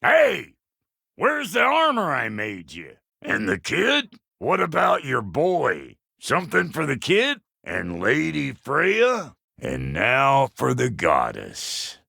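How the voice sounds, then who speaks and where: A man voicing a gruff, cocky, middle-aged dwarf blacksmith for a video game, with a rough gravelly voice, an American accent and a brash, folksy, profane manner. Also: mid-range voice, huge pitch swings, slow pace, bright, forceful tone, dry recording, clean studio sound, full character voice. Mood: gruff, grumbling. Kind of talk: video game character voice lines.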